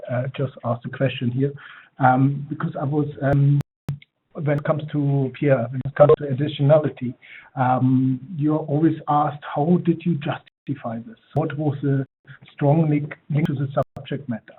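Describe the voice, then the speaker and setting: A man moderates an online webinar, speaking fluently with the odd filler as he invites questions. His pitch sits in an ordinary middle range. The sound is narrow and phone-like, with faint clicks in the background. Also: flat delivery, unhurried, slight background noise. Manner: fluent but loose, occasional fillers. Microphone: web conference audio. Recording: tinny phone-like web call audio with clicks.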